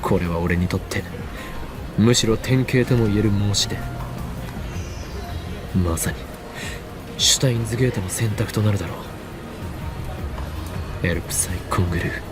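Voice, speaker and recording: A man voices a grandiose young male mad scientist from an anime game. His low voice is theatrical, pompous and paranoid, with clear music behind it. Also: fast, long pauses, natural voice. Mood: conspiratorial, brooding. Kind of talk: Japanese anime game voice acting.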